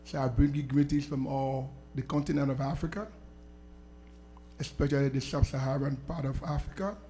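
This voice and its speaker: A man's voice: mid-range voice, steady tone, moderate pace, warm tone, a little hiss or hum. A man from Liberia, introducing himself on a panel in a formal, courteous way with long listing sentences. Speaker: researcher on a panel.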